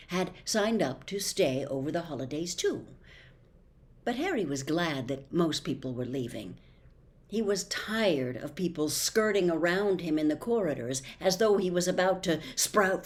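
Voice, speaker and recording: A woman reading a storybook aloud as narrator, in an expressive storytelling style. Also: deep voice. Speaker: audiobook reader.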